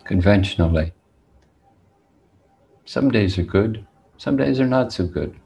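Male meditation teacher, low gravelly voice, deliberate phrasing with long pauses. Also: animated, a little mellow. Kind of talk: guided meditation talk.

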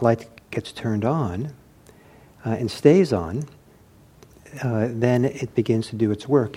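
A man giving an informal meditation talk, reflective and hesitant as he searches for words, with a soft, husky voice. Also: medium pitch. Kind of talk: informal spoken teaching with anecdotes.

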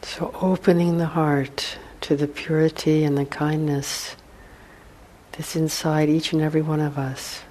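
A woman guides a meditation in a hushed, soothing voice. She speaks slowly in short phrases with long pauses, keeping her pitch level and steady. There is a faint wash of background noise behind her. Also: poor audio. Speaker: meditation teacher.

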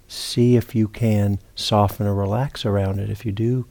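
A man with a fairly low, very soft-edged and mellow voice leads a guided meditation. He speaks as a teacher, unhurried and halting, leaving long pauses and often repeating a word before going on. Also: level tone, vocal fry. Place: meditation retreat center.

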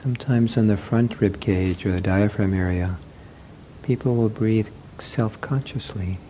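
A man teaching a guided meditation in gentle, soothing short phrases with long pauses. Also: lowish voice, a little hiss or hum.

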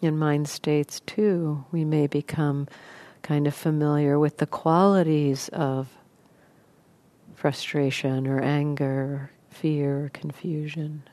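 Woman, meditation teacher, deep-pitched, very slow delivery, short phrases, long pauses. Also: level tone, mellow, dry recording. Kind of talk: guided meditation.

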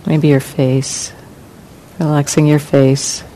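A woman meditation teacher leading a guided meditation in calm, gentle, unhurried phrases with long pauses. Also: low-quality recording. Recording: clear rumbling background noise.

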